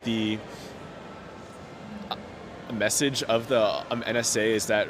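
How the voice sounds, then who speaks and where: A man's voice: lowish voice, steady tone, slow pace, music in the background. A young man who stutters, telling his personal story in an earnest, open way, with frequent repeated sounds, broken-off words and many fillers. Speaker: interviewee. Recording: noticeable outdoor background noise.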